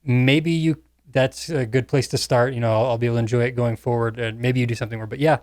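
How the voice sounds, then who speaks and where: A man's voice: mid-range voice, fairly even intonation, quick pace, darkish tone, no echo, studio quality. A middle-aged man talking casually as a co-host on a panel podcast.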